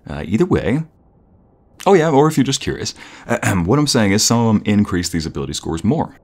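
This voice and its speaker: Low-voiced, playful boyfriend, acted by a man. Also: sing-song, brisk, breathy edge, heavily acted. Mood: playful, eager. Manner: playful, teasing, chuckling, rambling. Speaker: audio roleplay narrator. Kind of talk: casual, intimate boyfriend roleplay.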